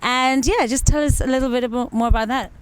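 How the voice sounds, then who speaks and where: A woman's voice: high-pitched, quick pace, poor audio. A woman hosting a casual interview with musicians. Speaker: interview host.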